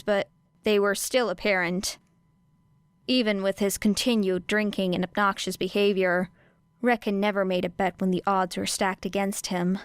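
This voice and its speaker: A woman's voice: medium pitch, smooth, dry recording. A woman reading a scripted fiction audiobook as narrator, close on the microphone. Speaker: audiobook reader.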